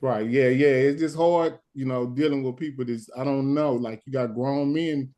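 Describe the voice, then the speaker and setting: A man in runs a live stream late at night, worn out, breaking off and restarting his points. His voice sits in an ordinary middle pitch. He sounds measured even while arguing. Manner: halting, many restarts and "hold on". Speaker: stand-in host of a live stream. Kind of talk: casual live-stream talk.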